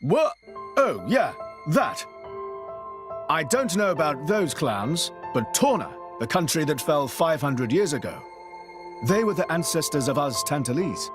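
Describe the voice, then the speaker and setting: An actor with a British accent playing a cocky young adventurer in a video game, breezy and colloquial. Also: male voice, a little high, big pitch swings, unhurried. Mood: casual explaining. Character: cocky, good-humoured young exiled royal adventurer. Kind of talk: animated video game voice acting. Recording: music behind.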